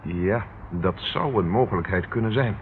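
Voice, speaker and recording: An actor in a radio drama playing a calm, methodical police inspector with a businesslike, questioning manner. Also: male voice, deep voice, normal speed, lightly acted. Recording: tinny, noisy old radio recording.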